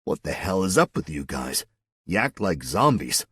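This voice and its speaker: A man voicing a wisecracking, wary ordinary man in a husky voice. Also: low voice, big pitch swings, normal speed, fairly bright tone, heavily acted. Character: wry, wary everyday man.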